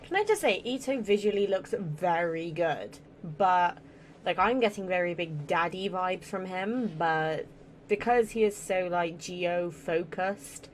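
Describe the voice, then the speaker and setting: A woman's voice: on the low side, lively intonation, unhurried, a little hiss or hum. A woman giving casual let's play commentary as a gaming streamer, chatty, irreverent and sweary.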